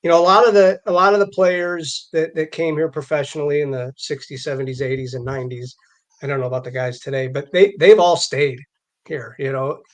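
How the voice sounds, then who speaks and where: A man co-hosting a casual sports talk show, speaking haltingly as he repeats words and rephrases. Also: a little high, steady tone.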